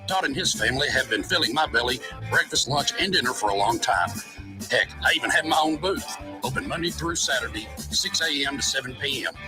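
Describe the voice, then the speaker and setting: A man reading local radio commercials in a folksy pitchman manner. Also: lively intonation, fairly quick, over music.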